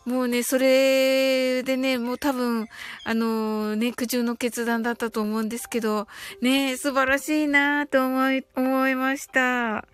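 A woman hosting a live audio stream, chatting casually and reading out listener comments in a giggly, chatty way. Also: on the high side. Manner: giggly, chatty, often ends phrases with soft filler particles.

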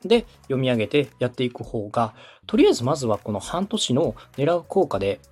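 A man's voice: mid-range voice, big pitch swings, a little fast, darkish tone, husky, soft background music. A man from Osaka speaking as a podcast host, giving polite, organized step-by-step advice in a conversational way. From Osaka, Japan. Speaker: podcast host and radio director advising a beginner.

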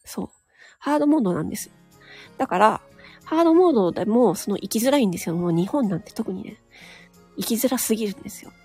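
A woman's voice: mid-range voice, expressive, quick pace, a little mellow, slightly rough, soft background music. A woman talking frankly on her own audio channel in a casual monologue, with many small nodding asides. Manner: frank, chatty, full of nodding asides and tag particles. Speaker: solo audio broadcaster.

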